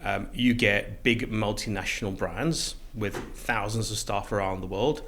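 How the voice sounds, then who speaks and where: A man's voice: fairly low pitch, measured pace, fairly bright tone, a little hiss or hum. A middle-aged man from South Africa speaking conversationally as the guest in a podcast interview. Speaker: interview guest, recruitment business co-founder.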